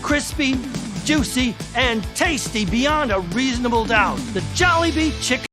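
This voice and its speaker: A man delivering a theatrical, emphatic scripted fast-food sales pitch as a commercial announcer. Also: animated. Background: music bed.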